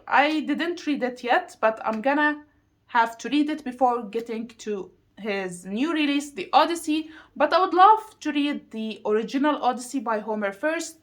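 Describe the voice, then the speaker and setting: High-pitched woman chatting emphatically about things. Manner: emphatic, repeats words for stress. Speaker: book reviewer vlogger. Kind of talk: casual chatty video monologue to viewers.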